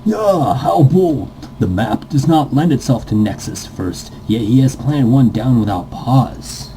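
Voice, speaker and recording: Man putting on an excitable, higher-pitched esports caster. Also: unhurried, slight background noise, poor audio. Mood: excited, ominous. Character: excitable, pompous male esports commentator in a visual novel.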